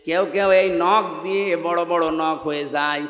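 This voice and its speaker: A Bangladeshi man preaching an Islamic sermon to a gathering in an emphatic, exhorting way, swinging between raised cries and hushed lines over a public-address microphone. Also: fairly high pitch, fairly even intonation, a little slow, faint music behind. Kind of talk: sermon to a public gathering, with chanted scripture recitation. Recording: echoing loudspeaker sound, long reverb.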